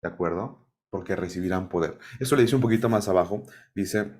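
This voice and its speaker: A man's voice: sits low, brisk, darkish tone. A man teaching a Bible study in sermon style, who keeps asking his listeners questions and checking in with them. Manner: asks the audience questions and checks in with "¿sí?".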